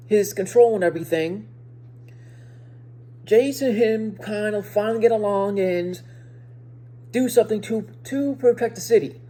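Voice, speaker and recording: A woman with a soft-edged, mellow voice reviews a show casually for her viewers. She has a speech impediment and moves haltingly through her sentences, often circling back to repeat or reword a phrase. Her pitch sits in the middle range for a woman. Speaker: video reviewer.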